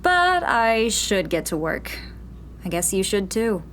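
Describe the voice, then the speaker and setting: A woman's voice: mid-range voice, very animated, slow pace, a bit bright, slight background noise. A woman playing a guarded young rebel in hiding, dry and hesitant, in a sci-fi audio drama. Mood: teasing, dry. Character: guarded, wry young female rebel radio host in hiding.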